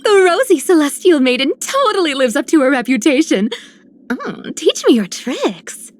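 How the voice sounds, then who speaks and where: An actress playing an eager, admiring young game heroine who speaks with formal flourishes. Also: female voice, a little high, measured pace, a little mellow, natural voice. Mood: impressed. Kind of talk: scripted game character lines.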